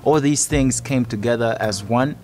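Male, 20s, Malawian accent, mid-pitched documentary interviewee over background music. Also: normal speed, darkish tone. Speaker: youth program participant.

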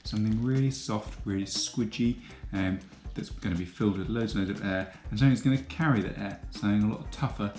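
A young man explaining biology in a patient, clear teaching manner, recorded in a home kitchen on a camera across the table. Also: on the low side, fast talker, hardly any pauses, a little mellow, roomy sound. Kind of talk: educational explainer.

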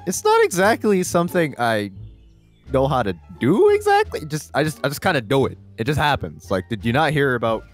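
A man playing an easygoing dragon-shifter student in a Minecraft roleplay, casual and a little halting. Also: mid-range voice, huge pitch swings, faint music behind. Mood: casual, sheepish. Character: easygoing male student who turns into a dragon.

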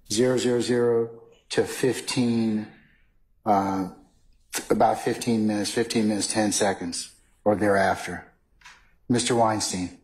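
A male judge speaks in a fairly deep voice. He addresses the lawyers from the bench in a large courtroom. His delivery is halting and deliberate as he works through a ruling. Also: darkish tone, rough recording. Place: large wood-paneled courtroom.

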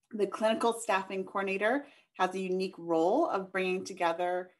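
A woman, a behavioral health coordinator, presents her agency steadily over a video call, with frequent ums. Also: mid-range voice. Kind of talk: presenting over a shared screen.

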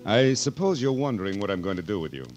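A man's voice: on the low side, expressive, fast talker, over loud music, close to an everyday voice. An actor playing a shrewd, sardonic king of France in theatrical 1940s radio drama style. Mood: wry, toying. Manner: sardonic, theatrical, hesitant asides. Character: shrewd, wry, imperious old-time king of France. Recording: 1940s radio broadcast recording.

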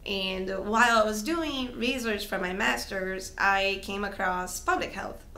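A woman's voice: medium pitch, a little slow, sharp tone. A woman in her thirties, born and raised in Brazil, speaking in a friendly, thoughtful way with frequent ums as a guest on an interview show. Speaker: guest, health coalition coordinator. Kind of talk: casual interview talk. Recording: echoey room with faint hiss.

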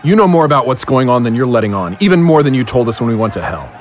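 A man's voice: medium pitch, very animated, fast, slight background noise, close to an everyday voice. A man playing a hard-bitten, distrustful occult hero, blunt and confrontational, in an audio drama. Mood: angry distrust.

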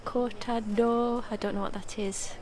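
Softly whispering woman with a croaky voice. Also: fairly high pitch, fairly even intonation, low-quality recording. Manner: slow, gentle, partly whispered. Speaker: ASMR vlogger giving a tour. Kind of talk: soft-spoken whispered tour. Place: empty indoor café with people chatting nearby. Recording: background chatter from a nearby meeting.

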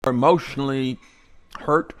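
A man's voice: medium pitch, steady tone, normal speed, a bit bright, no echo. An older man giving earnest, informal Bible teaching, gesturing as he talks in a kitchen under renovation.

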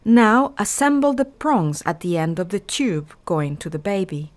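Woman doing a training film voiceover, measured step by step instructions, medium pitch. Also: sing-song. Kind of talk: scripted instructional narration.

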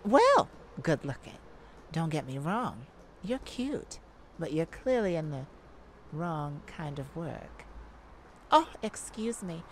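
A woman's voice: sits low, very animated, slow, slight background noise, heavily acted, poor audio. A woman playing a cocky, flirty female cat burglar in an ASMR roleplay, with a teasing, sassy, theatrical delivery. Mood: flirty, smug.

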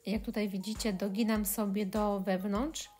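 A woman's voice: mid-range voice, steady tone, normal speed, a little mellow, soft background music. Hesitant woman explaining a craft tutorial. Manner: hesitant, many filler sounds. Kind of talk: step-by-step craft tutorial voice-over.

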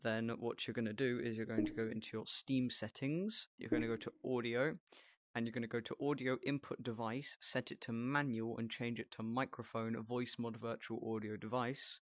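Male tutorial host, medium pitch, casual step-by-step delivery, very little room sound. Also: level tone, normal speed, no echo, clean studio sound.

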